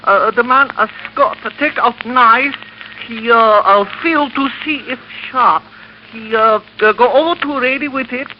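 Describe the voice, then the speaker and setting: A nervous shopkeeper in a vintage radio thriller. The voice is very high and hesitant, picking through broken English. The old recording is dull, with faint noise. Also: male voice, a little slow. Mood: halting, anxious. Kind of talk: 1940s radio acting.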